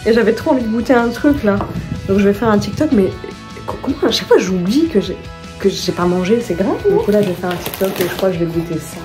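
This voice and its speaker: A woman's voice: medium pitch, animated, fast talker, music in the background. A woman vlogging casually at home, chatting and rambling through her shopping haul, often stopping to correct herself and laughing at her own slips.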